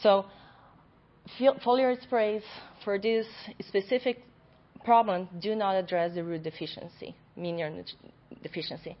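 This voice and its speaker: A young adult woman, a graduate student, giving an academic conference presentation in a loud voice in a lecture room. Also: medium pitch, steady tone, measured pace. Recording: dull lo-fi recording.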